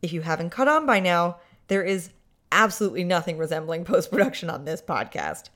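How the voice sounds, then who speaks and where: A woman's voice: fairly low pitch, expressive, brisk, a bit bright. A woman hosting a podcast, narrating a story in a dry, sardonic way.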